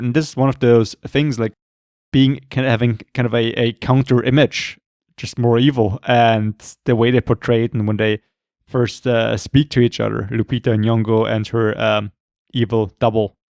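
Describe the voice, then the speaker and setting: A man co-hosting a podcast, talking casually about horror films, rambling and swearing often, with a voice going hoarse. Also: mid-range voice, steady tone, moderate pace, darkish tone, dry recording, clean studio sound. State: losing his voice, throat clearing. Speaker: podcast co-host. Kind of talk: casual film chat.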